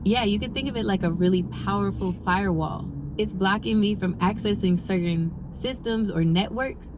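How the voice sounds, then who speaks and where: A woman's voice: medium pitch, moderate pace, highs cut off, natural voice. A woman voicing an upbeat, agreeable female AI companion on a mission, in improvised sci-fi role-play dialogue. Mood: musing. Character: upbeat female AI ship companion, obliging and steady. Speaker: AI co-pilot. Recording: loud car and road noise.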